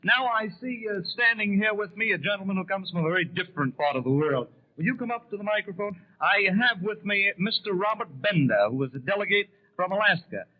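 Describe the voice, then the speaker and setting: A tinny vintage radio broadcast carries an American man working as an interviewer. His voice sits a little high and he talks very quickly. His questioning is formal and courteous, in a 1930s live news style. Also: animated. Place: convention hall broadcast room.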